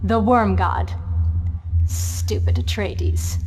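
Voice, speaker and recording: A woman's voice: mid-range voice, drawn-out words, a little mellow, faint music behind. An actress playing a proud, scornful desert warrior woman in a video game cutscene, speaking with defiant deliberation.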